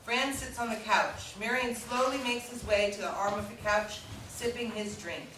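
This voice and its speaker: A woman's voice: a little high, moderate pace. A woman reading out stage directions at a staged play reading in a scripted narration style. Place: indoor staged reading, recorded from afar. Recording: echoey room, distant mic, clicks, noise.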